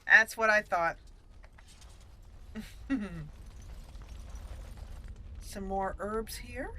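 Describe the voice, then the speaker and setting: A woman hosting a let's play, chatting casually about her gameplay and reacting excitedly to the action. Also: mid-range voice, very slow, a little hiss or hum. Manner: chatty, excitable reactions, talks to party members.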